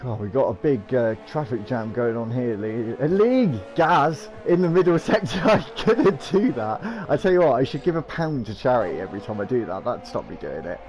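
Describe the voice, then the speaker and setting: A man's voice: medium pitch, lively intonation, a little fast, few pauses, over music. A man hosting and commentating an online racing league, chatty and joking, with plenty of "uh" fillers as he introduces the drivers. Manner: chatty, lots of uh fillers, jokey. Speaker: league host and lead commentator.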